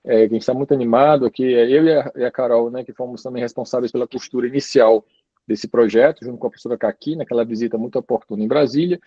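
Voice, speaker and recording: A man gives a friendly, conversational university talk over a video call. His voice is mellow and darkish, and the sound is dry and a bit muffled. He keeps checking in with his listeners as he goes. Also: mid-range voice, quick pace, slightly rough, no room sound. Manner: chatty, relaxed, tags sentences with "né" and "tá". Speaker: guest lecturer. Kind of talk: informal university lecture.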